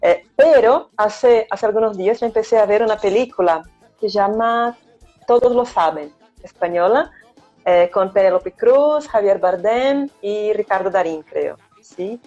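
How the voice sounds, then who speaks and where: A woman's voice: mid-range voice, a little slow, mellow. A middle-aged Brazilian woman, a Spanish teacher speaking Spanish with a Brazilian accent, who thinks aloud with many hesitations and tag questions. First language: Portuguese. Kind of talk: casual chat on a live stream. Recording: dull lo-fi stream audio, faint music.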